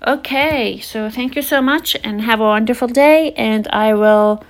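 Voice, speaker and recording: A woman hosting a casual language lesson podcast, teaching everyday phrases and saying them slowly for listeners to repeat after her. Her first language is English. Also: a little high.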